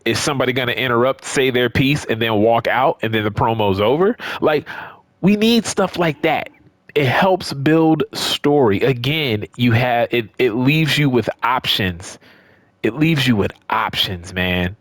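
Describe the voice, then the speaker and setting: A man's voice: mid-range voice, expressive, a little slow, a bit bright, no room sound. A man with an American accent giving casual, unscripted opinions as a gaming creator, conversational and repeating points for emphasis.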